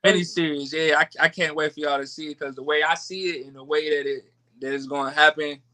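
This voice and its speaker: An American man in his late twenties talking casually as a podcast guest, animated and repeating phrases for emphasis. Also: on the high side, fairly quick. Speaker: podcast guest, creator of a miniseries. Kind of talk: casual conversation.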